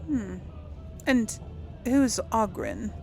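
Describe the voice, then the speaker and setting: A woman's voice: fairly high pitch, wildly swooping pitch, extremely slow, gravelly, mellow. A woman hosting a casual role-play gaming video, talking in an easygoing way to herself and her audience. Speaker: gaming host playing and commenting. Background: game music.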